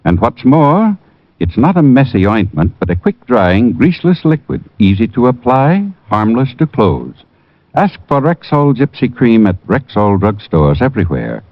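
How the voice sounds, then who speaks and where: A man's voice: mid-range voice, big pitch swings, normal speed, no echo. A man reading a vintage radio commercial in an earnest, persuasive way. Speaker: announcer. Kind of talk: radio ad read. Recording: tinny old radio broadcast.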